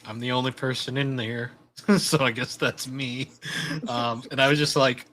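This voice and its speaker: A young man with a low voice, co-hosting a podcast in casual chat, laid-back and chuckling through his stories. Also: fairly even intonation, normal speed, a bit bright. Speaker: podcast co-host.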